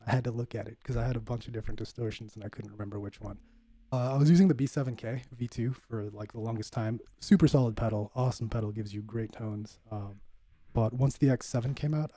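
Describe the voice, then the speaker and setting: A man hosting his own livestream, chatting casually with viewers in a relaxed, laid-back way, full of fillers and calling people "bud". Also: medium pitch, dry recording. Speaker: livestream host, bassist. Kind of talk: casual chat reading viewer comments.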